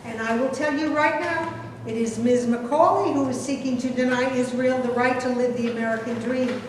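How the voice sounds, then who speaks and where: A woman's voice: high voice, fairly even intonation, moderate pace, lightly acted. A woman reading the part of a stern female religious advisor who lectures formally in a staged reading. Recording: echoey hall from far off, faint noise.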